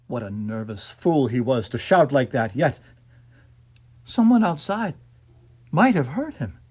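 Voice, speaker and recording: A man narrating a ghost story in an intense, theatrical way, swinging between hushed and shouted delivery. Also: a little high, husky. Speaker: audiobook narrator.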